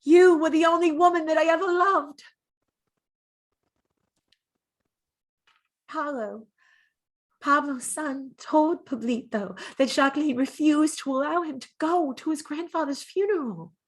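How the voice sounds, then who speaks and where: Woman acting a wistful, high-voiced dead lover. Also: moderate pace, lightly acted. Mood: grieving. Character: dead gentle lovelorn woman, artist's former lover, remembering. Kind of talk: dramatic monologue in an audio play reading.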